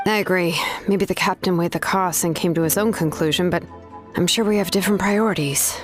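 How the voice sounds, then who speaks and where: A woman playing a calm, analytical young female strategist in a video game, measured and thoughtful. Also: fairly low pitch, soft background music, lightly acted. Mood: thoughtful, composed. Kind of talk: video game character acting.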